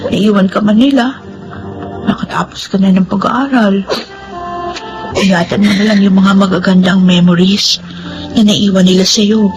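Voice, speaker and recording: Actress as a consoling young best friend. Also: female voice, medium pitch, steady tone, moderate pace, pauses often, over music, lightly acted, low-quality recording. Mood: gentle consoling. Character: consoling, devout young female best friend, gentle and steady. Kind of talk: radio drama acting.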